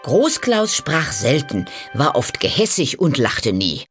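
Female storyteller, low voice, slow, richly animated, scripted fairy-tale narration, expressive. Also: faint music behind.

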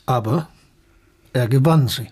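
A husky, mid-pitched voice for a shrewd professor in a radio drama. He is reserved and guarded, leading the listener along with understatement. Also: unhurried, darkish tone. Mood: measured. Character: shrewd, reserved German male professor, also the narrator. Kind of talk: radio drama dialogue and narration.

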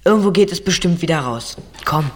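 A woman's voice: on the low side, animated, a little fast, lightly acted. A woman playing a curious, plucky teenage boy detective in a German audio drama. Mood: determined.

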